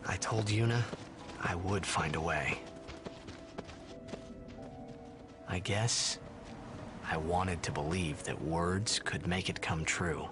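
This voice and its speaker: An actor playing an earnest, determined young hero in a video game cutscene. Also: male voice, sits low, a little slow, over music. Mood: reflective.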